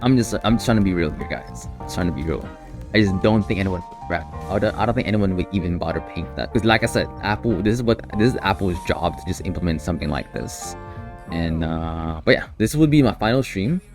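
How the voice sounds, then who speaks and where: Man, deep low pitch, casual developer live stream, halting delivery with repeated restarts, clear background music. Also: lively intonation. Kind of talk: casual live stream talk about a coding project.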